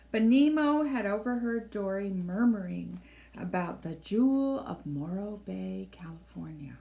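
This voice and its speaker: Higher-voiced woman reading a children's storybook. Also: creaky, warm tone. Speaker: library storybook reader. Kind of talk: expressive scripted read-aloud narration for children.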